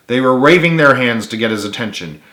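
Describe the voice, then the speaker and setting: A man narrates an adventure storybook with an earnest, expressive delivery. His voice sits at a middle pitch, and the room adds a clear echo around it. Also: brisk. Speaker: author narrating his own book. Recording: roomy, echoey home recording.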